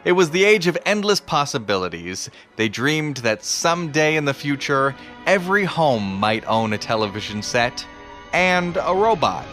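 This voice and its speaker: A man's voice: on the high side, very animated, moderate pace, fairly bright tone, faint music behind. A man hosting a podcast with scripted, explanatory narration. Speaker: podcast host.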